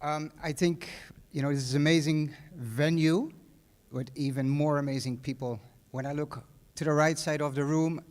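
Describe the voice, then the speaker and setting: A man's voice: on the high side, fairly even intonation, normal speed, darkish tone. A middle-aged man with a Dutch accent giving a casual, rambling graduation speech in a large hall, full of fillers and restarts. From Netherlands. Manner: conversational, many fillers and restarts. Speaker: guest speaker.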